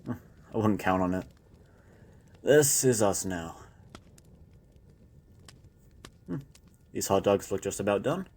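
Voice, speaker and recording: A weary survivor of the zombie apocalypse, played by a man, speaks in a fairly low voice. He is a loyal partner in an intimate audio roleplay, rambling reflectively as if in private conversation. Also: expressive, measured pace, a little mellow, slightly rough. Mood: matter-of-fact planning. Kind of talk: intimate audio roleplay, one-sided dialogue.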